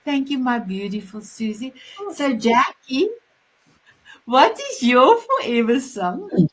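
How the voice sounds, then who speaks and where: A woman's voice: a little high, big pitch swings, slow pace. A woman, an Afrikaans speaker, hosting a casual online chat show, effusive and affectionate, often restarting her sentences. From South Africa. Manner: effusive, repeats "my beautiful", stumbling restarts. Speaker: host.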